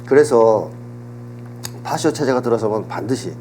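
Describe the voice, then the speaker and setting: A man getting over a cold, explaining politics and history in a measured, lecturing way on a talk show. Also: medium pitch, animated. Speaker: political commentator. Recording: audible hum and background noise.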